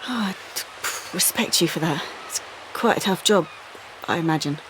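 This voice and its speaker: An actress playing a wounded, defiant young woman in an audio drama, with a husky voice and a curt, guarded manner. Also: on the low side, moderate pace, background noise, close to an everyday voice. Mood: awkward, guarded. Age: young adult. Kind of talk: audio drama monologue and dialogue.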